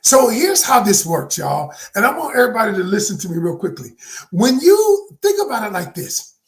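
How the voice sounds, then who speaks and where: A man's voice: high voice, very animated, moderate pace. Emphatic man in his sixties coaching. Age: 60s. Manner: emphatic and preachy, calls listeners "y'all", swings from hushed to shouted. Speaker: life coach. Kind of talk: motivational talk to viewers.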